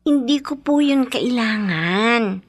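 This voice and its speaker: An actress playing a patient, responsible fifteen-year-old daughter in a Filipino radio drama, respectful but gently scolding. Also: female voice, a little high, expressive, takes it slowly, exaggerated voice. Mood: patient, admonishing.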